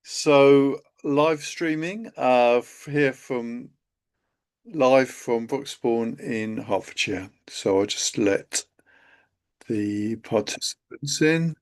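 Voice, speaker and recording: A man hosting a live webinar over a video call, chatty and halting, with many fillers. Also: medium pitch. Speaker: live stream host, accountant. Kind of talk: casual live webinar talk.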